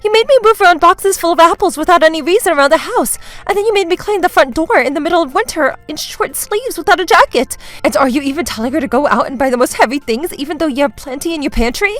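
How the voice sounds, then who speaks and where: Young daughter-in-law voiced by a woman narrator, high and animated, playing innocent and polite in a family drama story. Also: normal speed, few pauses. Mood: plaintive. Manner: exaggerated innocence, polite, plaintive.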